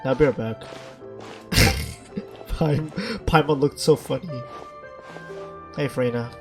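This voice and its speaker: A man's voice: mid-range voice, slow. A man giving casual gameplay commentary as a streamer, reacting in an offhand way. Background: game music.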